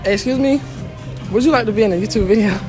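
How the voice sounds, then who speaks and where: A man's voice: high-pitched, quick pace. A young man hosting casual street interviews, slangy and teasing in the way he talks. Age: young adult. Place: indoor public shopping mall. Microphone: phone held near the mouth. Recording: busy mall noise, background music.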